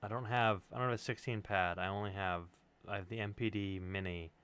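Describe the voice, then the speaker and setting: A man's voice: lowish voice, fairly even intonation, brisk, fairly bright tone, clean tone. A man in casual conversation on a livestream, speaking bluntly and informally. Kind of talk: casual chat.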